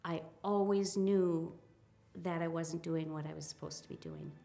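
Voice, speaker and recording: A woman in her fifties giving a reflective personal account, speaking hesitantly with soft pauses and restarts. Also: low-pitched, steady tone, vocal fry. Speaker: interviewee, spiritual practitioner.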